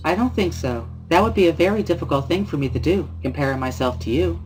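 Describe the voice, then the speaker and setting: A man voicing a composed, polite teenage girl class representative, speaking in a measured, formal and subtly cutting way. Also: on the high side, expressive, faint music behind, voice pushed up, close to an everyday voice, rough recording. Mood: coolly barbed.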